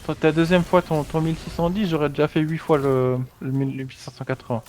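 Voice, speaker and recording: A young man giving quick, insistent gameplay tips to friends in a voice chat. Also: on the high side, little pitch movement, darkish tone, slight background noise. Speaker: gamer in voice chat. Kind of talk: casual gaming chat.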